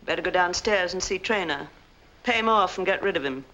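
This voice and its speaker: A woman's voice: lowish voice, a little fast, pushed and bright, dull audio. An actress with an American accent playing a bossy, scheming middle-aged mother in a 1940s film noir. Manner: commanding, curt. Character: cold, scheming, bossy mother running a family swindle. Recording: noisy old film soundtrack.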